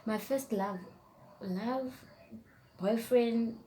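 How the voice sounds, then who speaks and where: A woman's voice: fairly high pitch, extremely slow, warm tone, a little hiss or hum. Young woman vlogging, chatty and rambling. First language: Setswana. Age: young adult. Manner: chatty, rambling, trails off into whispers while reading questions. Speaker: YouTuber. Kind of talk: casual tag video chat.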